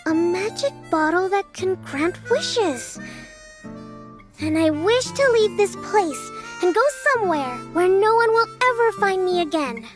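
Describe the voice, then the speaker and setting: Little girl, game character, tiny high voice, lively swooping intonation, sweet and earnest, music behind. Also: measured pace. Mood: wistful storytelling. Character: excitable little girl performer playing a fiery mage.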